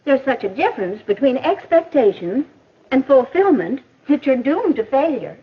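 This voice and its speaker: An American actress playing a patient, instructive female mentor in a 1950s educational film, explaining in a measured, didactic way. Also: sits high, big pitch swings, slightly rough, heavily acted. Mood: encouraging, instructive. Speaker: teacher or counselor in an educational film. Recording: muffled old 1950s film soundtrack.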